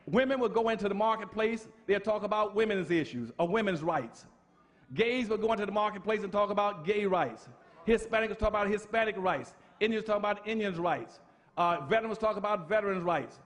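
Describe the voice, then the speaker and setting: A man's voice: high voice, brisk, fairly bright tone. A man giving an impassioned public lecture, emphatic and preacher-like, firing off rhetorical questions.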